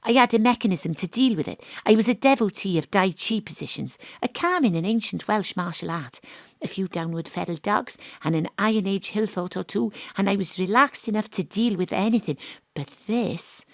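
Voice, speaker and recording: An actress playing a wry, cocky woman detective who narrates her case in a deadpan, confiding audio drama style. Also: medium pitch, normal speed, vocal fry, no room sound, close to an everyday voice.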